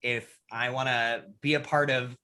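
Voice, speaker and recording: A man talking casually as a guest on a charity livestream, loud and animated, full of fillers. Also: mid-range voice, unhurried. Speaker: guest creator.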